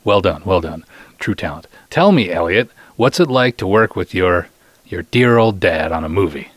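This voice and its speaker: A man's voice: sits low, animated, moderate pace, dry recording. A middle-aged man hosting a podcast in a casual, playful, teasing manner. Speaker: podcast host and interviewer. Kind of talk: casual hosting and light interview.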